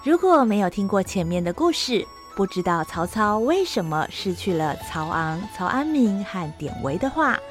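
Expressive female storyteller over music. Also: mid-range voice, moderate pace, hardly any pauses. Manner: gentle, expressive, melodic. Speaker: narrator and host. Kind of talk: scripted storytelling narration.

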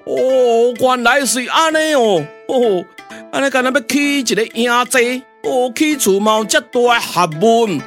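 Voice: medium pitch, unhurried, a bit bright, faint music behind. A performer with a Taiwanese accent voicing a simple, curious pioneer underling in a glove-puppet radio drama, questioning and exclaiming. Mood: impressed.

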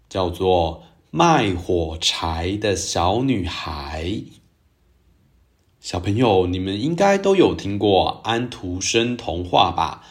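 A man hosting a storytelling show, narrating for young children in a gentle, expressive way. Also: on the low side. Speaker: children's storytelling podcast host.

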